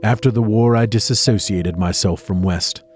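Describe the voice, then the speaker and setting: Man playing a low-voiced, haunted doctor. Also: moderate pace, soft background music, close to an everyday voice. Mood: grave, haunted. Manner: grave, dramatic, appalled. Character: haunted, horrified male doctor, reluctant lab assistant. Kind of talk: horror audio drama narration and acting.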